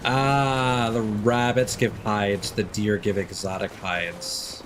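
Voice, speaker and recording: Male game streamer, lowish voice, casual and musing, faint game ambience underneath. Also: flat delivery, background noise. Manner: musing aloud, unhurried asides. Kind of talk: casual livestream gameplay commentary.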